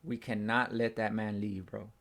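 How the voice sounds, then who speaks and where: A young man hosting a casual sports reaction, excitable and slangy, with a hoarse, nearly lost voice from screaming. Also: fairly low pitch, moderate pace. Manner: excitable, slangy, says bro a lot. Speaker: fan channel host. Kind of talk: casual post-game reaction.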